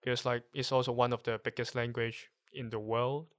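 A man from Hong Kong whose first language is Cantonese, speaking English with a Hong Kong accent as a guest, explaining things haltingly while searching for words. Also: medium pitch, flat delivery. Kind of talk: casual conversation.

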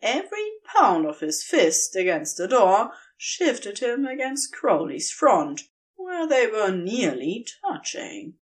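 Unhurried woman reading fiction expressively. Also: mid-range voice, sing-song. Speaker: audio fiction reader. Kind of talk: expressive scripted story narration.

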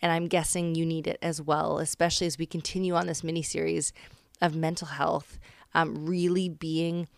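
A woman in her thirties hosting a faith-based podcast, hoarse and raspy from a cold, talking in an earnest, reflective way with loose pauses and fillers. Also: low-pitched, little pitch movement, dry recording, clean studio sound. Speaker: podcast host.

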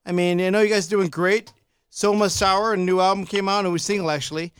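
A man with a Chicago accent hosts a casual podcast chat. His voice sits high and sounds smooth, and it is recorded dry and close. He talks loosely, repeats himself and laughs a lot. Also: fairly even intonation, quick pace, a bit bright, no room sound. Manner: laid-back, repeats himself, laughs often. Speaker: podcast host.

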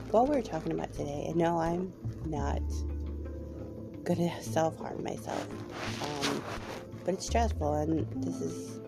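Slow, gravelly woman hosting a podcast. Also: on the low side. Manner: hesitant, lots of ums, candid. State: voice a little off after a cold. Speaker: podcast host. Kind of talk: personal podcast monologue.

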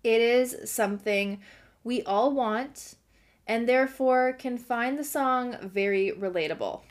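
Slow-speaking woman with a slightly hoarse voice. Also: a little high. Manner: earnest, encouraging. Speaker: podcast host. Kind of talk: self-help podcast monologue.

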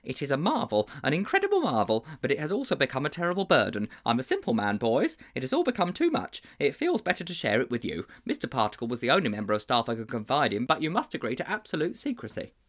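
A man's voice: mid-range voice, fast, few pauses, pitched above the performer's own voice. A man voicing an earnest, anxious older schoolteacher who speaks formally and a little fussily. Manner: formal, slightly fussy, animated.

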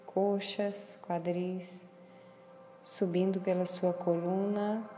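A Brazilian woman guides a meditation in a soft-edged, mellow voice. She speaks slowly and instructionally, leaving space between phrases. Her voice echoes with a long reverb tail. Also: mid-range voice. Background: music.

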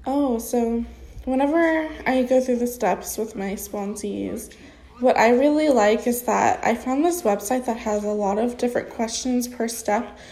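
A woman's voice: a little high, unhurried, warm tone, rough recording. A young woman sponsoring others in recovery, talking off the cuff in a casual vlog. She sounds congested and blocked up, and rambles with many fillers. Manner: rambling, spontaneous, heavy with fillers. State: congested, stuffy nose. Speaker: recovery sponsor sharing experience. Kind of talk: casual unscripted vlog talk.

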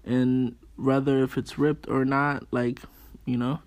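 A man chatting casually and informally as a guest, using relaxed slang as he gives his opinions. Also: mid-range voice, slow pace. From Haitian background. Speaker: podcast guest. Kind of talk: casual chat about fashion. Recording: clear background room noise.

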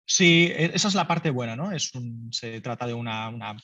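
A man's voice: mid-range voice, expressive, moderate pace, pushed and bright, dry recording. Brief: male founder, casual and filler-heavy, dry muffled recording. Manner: loose and conversational, many fillers, laughs lightly. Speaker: startup founder. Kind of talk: business interview answers.

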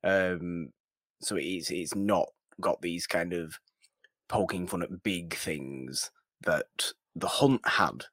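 A man's voice: deep voice, slow, fairly bright tone, dry recording. A man co-hosting a podcast in casual film discussion, often repeating his words for emphasis as he goes. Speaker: podcast co-host.

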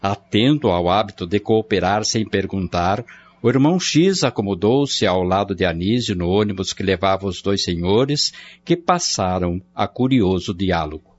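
A man narrating a radio drama in a steady, formal way. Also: sits low, normal speed. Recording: muffled radio play with music.

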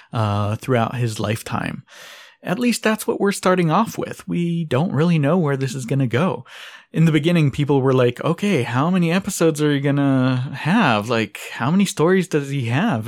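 A man in his forties from Israel, hosting a podcast and speaking earnestly, his pitch jumping up on key words. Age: 40s. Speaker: podcast host. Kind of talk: podcast introduction.

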